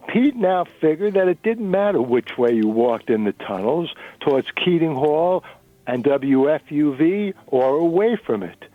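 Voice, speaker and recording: A man's voice: a little high, no echo. A man narrating a novel in a dramatic reading. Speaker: narrator and reader. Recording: narrow-band radio recording.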